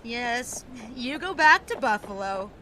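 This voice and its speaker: An actress playing a spirited young high-society woman of the 1920s in an audio drama, effusive and theatrical with a wistful streak. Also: very animated, pushed and bright, slight background noise, exaggerated voice, rough recording. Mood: teasing, brisk. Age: young adult. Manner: effusive, theatrical, halting when emotional. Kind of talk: period audio drama acting.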